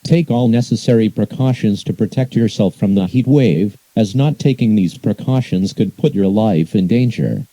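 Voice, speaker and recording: Very dark, muffled man reading emergency alerts. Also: fairly low pitch, moderate pace, hardly any pauses, dry recording. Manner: formal, impersonal. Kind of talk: scripted emergency alert announcement. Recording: muffled, hissy emergency broadcast audio.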